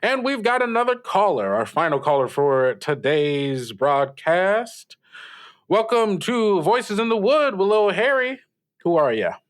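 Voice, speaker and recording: A man's voice: sits high, very animated, unhurried. A man as a swooping, flustered teenage radio host. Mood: upbeat. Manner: stammering, flustered, polite, nervous chuckles. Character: eager, awkward teenage boy hosting a late-night radio show. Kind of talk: improvised audio drama as radio call-in show.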